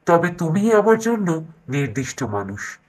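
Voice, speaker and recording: A man voicing a mysterious, solemn male enchanted swan with a husky voice in a cartoon fairytale. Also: fairly high pitch, big pitch swings, a little slow, a little mellow, low-quality recording. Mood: solemn wonder.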